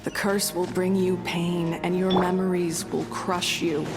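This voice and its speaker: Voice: medium pitch, little pitch movement, unhurried, lightly acted. An actor playing a cold, bitter, detached schemer in a dramatic fantasy video game. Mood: menacing, bitter. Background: loud music.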